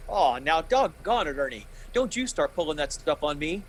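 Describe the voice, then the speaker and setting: A man's voice: on the high side, big pitch swings, moderate pace, a little hiss or hum. An actor playing a desperate, agitated family man in a pleading, old-time radio drama style. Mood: frantic, impatient.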